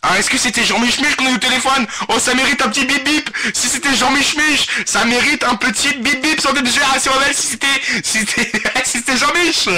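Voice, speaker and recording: A man hosting a live webradio prank show, talking in a crude, slangy, excitable way. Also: very high-pitched, a little fast, few pauses. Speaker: webradio host and prank caller. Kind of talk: informal live radio prank-call show banter. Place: webradio studio.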